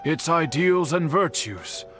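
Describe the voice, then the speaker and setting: A man's voice: fairly high pitch, expressive, slow pace, fairly bright tone, soft background music. A man playing a dignified older master swordsmith in a video game, speaking formally and solemnly. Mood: proud, admiring. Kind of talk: scripted video game dialogue.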